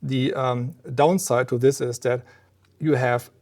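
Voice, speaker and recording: A man giving an informal technical explanation of a product as a company representative, measured and explanatory, pausing between phrases. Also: mid-range voice, steady tone, a little mellow. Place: exhibit room at an audio trade show.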